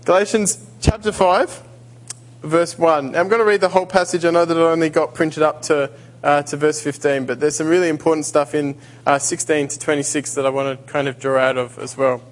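A man's voice: a little high, fairly even intonation, brisk. A man preaching as a visiting guest in a church, hesitant and full of fillers and restarts, then reading scripture aloud. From Australia. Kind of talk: sermon. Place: church sanctuary. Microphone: handheld or stand mic.

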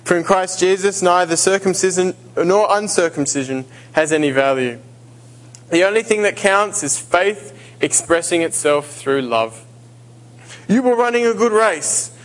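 A man's voice: high voice, unhurried, rough recording. A man preaching as a visiting guest in a church, hesitant and full of fillers and restarts, then reading scripture aloud. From Australia. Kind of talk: sermon. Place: church sanctuary. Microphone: handheld or stand mic.

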